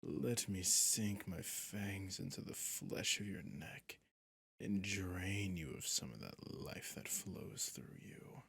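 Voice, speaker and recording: A man playing a sadistic, centuries-old vampire prince in an ASMR roleplay, slow and menacing, often dropping into a whisper. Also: lively intonation, a bit bright, no room sound, heavily acted. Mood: possessive, taunting. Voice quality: low, husky, gravelly.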